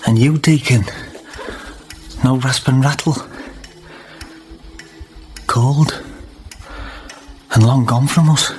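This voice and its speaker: An actor playing a sly, pious false friend in a period radio drama, with measured, formal, sanctimonious speech. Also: male voice, mid-range voice, a little mellow, a little hiss or hum, close to an everyday voice. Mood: soft, musing. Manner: measured, sanctimonious, formal old-fashioned phrasing.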